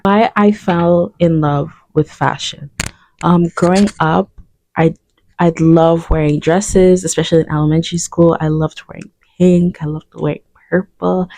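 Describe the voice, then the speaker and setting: A young woman, talking through her story in a relaxed, rambling vlog style. Her voice sits fairly low and sounds mellow and warm, full of "like" and quick restarts. The sound is close and dry with no room around it. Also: no room sound. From Uganda. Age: 20s. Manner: chatty, lots of fillers and self-corrections. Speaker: fashion designer vlogger. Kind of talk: casual vlog storytelling while doing makeup.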